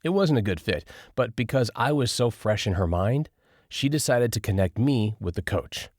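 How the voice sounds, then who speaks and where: Lively low-voiced male audiobook narrator. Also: moderate pace, no room sound, clean studio sound. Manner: lively, expressive reading with retakes. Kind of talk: first-person nonfiction audiobook narration.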